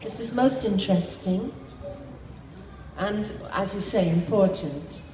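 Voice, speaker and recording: A woman's voice: mid-range voice, dark tone. Polite woman interviewer in an echoey hall. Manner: polite, measured, with frequent ums. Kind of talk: public interview before an audience.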